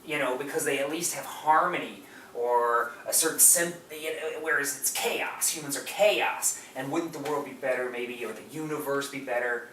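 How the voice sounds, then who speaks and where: Male podcast co-host, bright and keen, gushing in casual film chat, picked up from across a roomy space. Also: medium pitch, fairly even intonation, moderate pace, few pauses. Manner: enthusiastic, gushing. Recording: roomy, distant mic, background noise.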